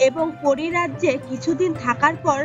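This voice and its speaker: A woman's voice: high-pitched, normal speed, muffled recording, over music. A woman narrating a scripted fairy tale as the storyteller of a cartoon.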